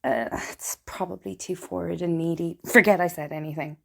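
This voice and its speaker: A woman acting a melancholy, wryly funny and tipsy character in an intimate, halting theatrical monologue. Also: on the low side, fairly even intonation, vocal fry, a bit bright. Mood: reflective, hesitant. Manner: halting, intimate, dramatic pauses. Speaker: stage actor performing a solo monologue. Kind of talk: confessional theatrical monologue, spoken as a letter.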